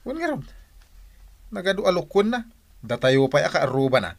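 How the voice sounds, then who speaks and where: Excitable Filipino farmer. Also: male voice, a little high, moderate pace, gravelly, exaggerated voice. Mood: indignant. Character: village farmer neighbour, excitable, indignant. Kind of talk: Filipino comedy radio drama acting.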